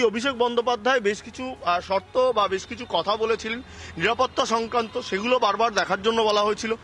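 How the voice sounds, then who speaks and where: A man's voice: very high voice, a bit bright. A man with a West Bengal accent reporting live from the field in a hesitant, repetitive running commentary.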